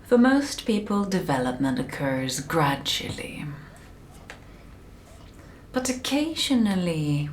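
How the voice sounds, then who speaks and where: ASMR roleplay: actress as calm, controlling female conditioning specialist. Low, soft, whispery voice, soothing clinical manner, faint noise behind. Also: exaggerated voice. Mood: clinical, approving. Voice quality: soft, breathy, often whispering. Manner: slow, deliberate, soothing, clinical.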